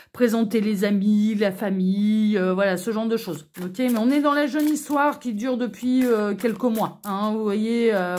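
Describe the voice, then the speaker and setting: A woman hosting an online tarot reading, talking casually to viewers in a chatty, informal, hesitant way full of asides. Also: medium pitch. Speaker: online tarot reader and channel host.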